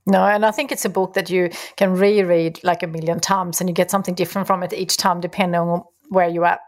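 A woman's voice: lowish voice, level tone, quick pace, no room sound, studio quality. A woman whose first language is Swedish, hosting a casual interview and affirming her guest often. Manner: warm, affirming, laughs often. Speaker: podcast host.

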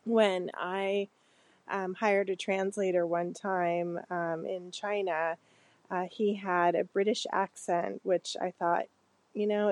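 A woman from the United States leaving a voicemail question, speaking hesitantly with many pauses and fillers. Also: medium pitch, darkish tone, no echo. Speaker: voicemail caller.

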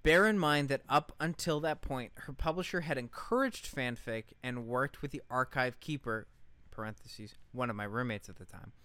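A man's voice: medium pitch, moderate pace, pushed and bright, dry recording. A man co-hosting a podcast, reading aloud and chatting in an informal, sweary way. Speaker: podcast co-host. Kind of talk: casual podcast chat and reading aloud from a post.

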